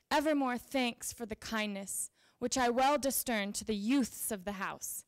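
A young woman giving a dramatic reading of historical letters in deliberate, formal phrasing, on a handheld microphone in an event room. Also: mid-range voice, vocal fry. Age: young adult. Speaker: reader at a library event. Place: indoor event room with small audience.

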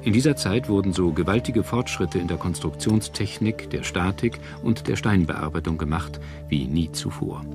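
A man reading scripted documentary narration in a measured, formal manner. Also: low voice. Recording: music bed under narration. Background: background music.

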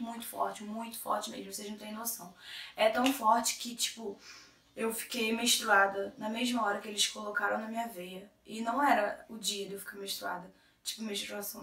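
A young Brazilian woman with a hoarse cold voice, chatting to camera as a vlogger in a chatty, self-interrupting way. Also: a little high, sharp tone, far from the mic. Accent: Brazilian Portuguese. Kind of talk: casual chat to camera. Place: small room indoors. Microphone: camera in front at medium distance. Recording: echoey room, a little off-mic.

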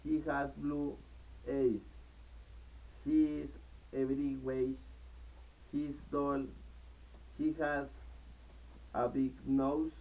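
A young man from Colombia in his twenties, speaking English with a Colombian Spanish accent as a learner and reciting a self-introduction word by word with pauses. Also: mid-range voice, little pitch movement, far from the mic, dull audio. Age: 20s. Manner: halting, word-by-word delivery with pauses. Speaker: student. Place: small living room at home. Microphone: camera in front of the speaker.

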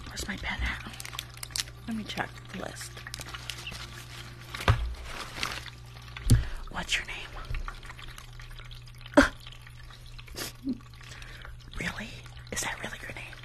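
A woman's voice: mid-range voice, steady tone, natural voice. Woman acting a whispering, snide spa receptionist. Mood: mocking. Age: young adult. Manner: condescending, snarky, drawn-out judgmental remarks. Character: rude, judgmental female spa receptionist, condescending and snide. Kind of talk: whispered ASMR roleplay. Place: small room at home, indoors. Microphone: close furry-windscreened condenser microphone.

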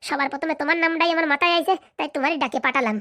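A woman's voice: high-pitched, fairly even intonation, racing, bright, forceful tone, no room sound, clean studio sound. A woman voicing a blunt, scheming, commanding village madam with a rural Bengali accent in a comedy cartoon. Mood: scheming, demanding. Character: bossy scheming village madam hiring a corrupt policeman. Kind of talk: comic cartoon dialogue.

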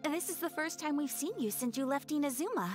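A high, soft-spoken young noblewoman in a game, polite and refined. Game music plays clearly beneath her. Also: expressive, a little fast. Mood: gentle.

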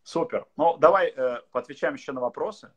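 A man in his thirties hosting a casual video call interview from a room, relaxed, with many filler pauses. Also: on the high side, husky, highs cut off. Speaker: live-stream host. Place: indoor room.

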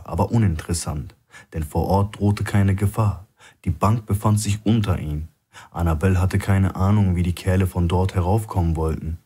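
A man's voice: sits low, mellow, breathy edge. A man narrating a thriller audiobook from a script.

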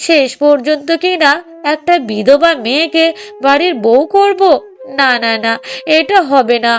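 A woman's voice: high voice, lively intonation, measured pace, faint music behind. A woman voicing an anxious, stubborn middle-aged mother in a family cartoon, pleading and emphatic. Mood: shocked, refusing. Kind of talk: Bengali moral-story cartoon dubbing.

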